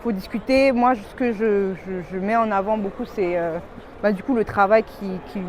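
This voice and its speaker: A woman from West Africa answering interview questions casually, pausing to search for her words. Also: medium pitch, fairly even intonation, darkish tone. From born in Belgium, grew up in West Africa. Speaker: entrepreneur being interviewed. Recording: noisy urban ambience behind voice.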